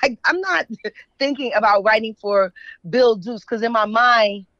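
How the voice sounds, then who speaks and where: A woman's voice: on the high side, a little slow, a bit bright, no room sound. American woman guest chatting, opinionated, says right a lot, kinda dull lo-fi audio. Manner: opinionated, frequent checking tags like "right?" and "you know what I'm saying". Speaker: guest, author and journalist. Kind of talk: casual conversational interview talk.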